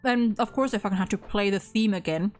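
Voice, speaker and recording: A woman's voice: mid-range voice, fairly quick, soft background music. A woman in a casual discussion of a video game, talking emphatically and swearing freely. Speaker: host.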